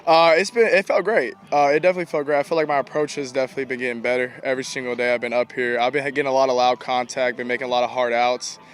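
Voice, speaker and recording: A young man, a college ballplayer giving casual, informal interview answers with halting repetitions. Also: medium pitch, flat delivery. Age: young adult. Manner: informal, halting, repeats himself. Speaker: college baseball player being interviewed. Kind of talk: casual post-game style interview answers. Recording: clear outdoor ambient noise.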